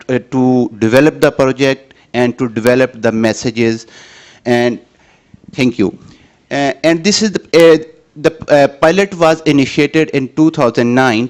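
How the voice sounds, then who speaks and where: A man from Pakistan, giving a conference presentation in a hesitant, halting way with many restarts. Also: mid-range voice.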